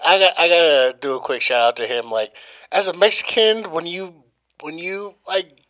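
A man's voice: a little high, normal speed, bright, forceful tone, low-quality recording. A man co-hosting a podcast, trading profane, slangy jokes in casual banter. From Colorado. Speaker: podcast co-host.

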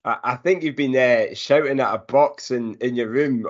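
A man's voice: medium pitch, normal speed, dull audio. A man co-hosting a podcast in casual sports chat. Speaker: podcast co-host.

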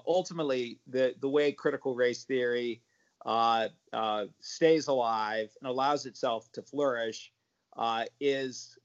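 A man speaking as a parent activist on a panel, halting, with many repeated words and restarts. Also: medium pitch. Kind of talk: panel discussion remarks. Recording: muffled lo-fi audio.

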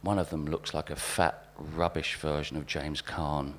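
A man with a very low voice performs spoken word. He reads short observed vignettes in a deadpan storytelling style, as at a live literary night. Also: measured pace, slight background noise. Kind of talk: live reading of overheard vignettes. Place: live literary event in a venue.

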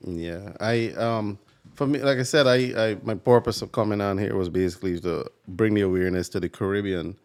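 Male interview guest, very low pitch, conversational delivery. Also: fairly quick. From Guyana. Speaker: guest, club racing rider. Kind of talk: casual interview chat.

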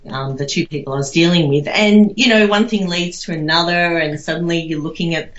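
A woman's voice: lowish voice, fairly quick. An Australian woman giving casual, reflective interview answers in a rambling, conversational way, with lots of "you know". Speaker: interview guest. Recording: echoey room, slightly dull sound.

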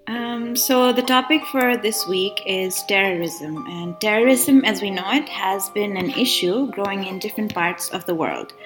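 Young female co-host, mid-pitched, reads from script over faint music. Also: fairly bright tone. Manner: reading a prepared introduction. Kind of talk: radio show hosting.

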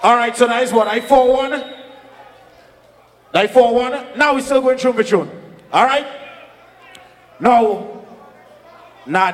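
A man acting as clash judge and MC, briskly calling for crowd votes between rounds. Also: high voice. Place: live dance hall. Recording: live hall reverb.

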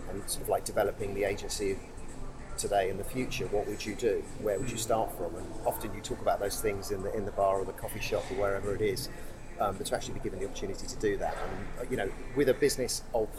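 A man's voice: lowish voice, fairly even intonation, noisy background. A man being interviewed as an agency executive, answering in a rambling way with lots of fillers. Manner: fast, rambling, heavy fillers.

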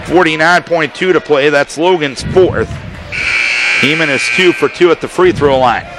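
A man calling live basketball play-by-play for radio, firing off rapid calls that rise to shouts on big plays, in a gym full of people. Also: a little high. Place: high school gym with a crowd. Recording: loud crowd and gym noise. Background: crowd and gym noise.

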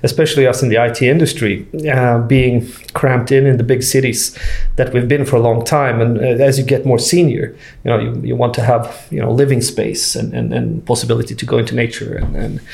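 Gravelly-voiced raised man in his forties. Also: mid-range voice, little pitch movement, darkish tone. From Sweden. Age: 40s. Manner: halting, many fillers and restarts. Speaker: guest. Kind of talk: casual conversation.

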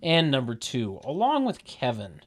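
A man narrating a comedy table read in a deadpan way, with mocking sardonic asides. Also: mid-range voice, a little slow, no room sound, studio quality. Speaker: narrator reading stage directions.

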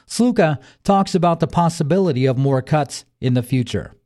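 A man's voice: fairly high pitch, lively intonation, moderate pace, dark tone. A man reading a scripted local news and sports bulletin as the newsreader. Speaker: local radio news reader.

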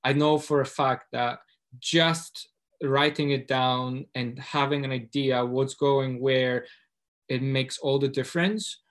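A man's voice: mid-range voice, steady tone, takes it slowly. Man, hesitant questioner. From Poland. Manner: hesitant, many uhs and restarts. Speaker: audience member asking a question.

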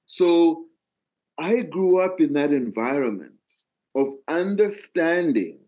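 Slow-speaking older Malawian man. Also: on the high side, animated, a little mellow. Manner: deliberate, phrase-by-phrase delivery. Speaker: interview guest, dancer and storyteller. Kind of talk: reflective personal storytelling in an interview.

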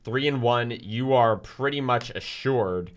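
A young man talking loudly and energetically as a student sports reporter on a casual podcast. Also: mid-range voice, slow. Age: young adult. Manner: loud, energetic talker.

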